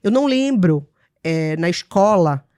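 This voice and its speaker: A woman's voice: on the low side, lively intonation, measured pace, warm tone, no room sound, clean studio sound. Reflective woman in her forties from Bahia. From Salvador, Bahia, Brazil. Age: 40s. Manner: earnest, reflective storytelling with frequent "né?" tags. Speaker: podcast host. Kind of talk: conversational podcast interview.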